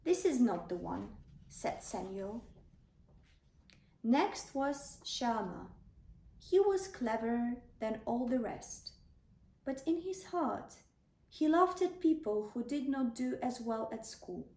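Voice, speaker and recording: Slow, high-voiced woman reading a bedtime story. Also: very animated, darkish tone. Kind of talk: children's bedtime story narration. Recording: roomy, distant microphone.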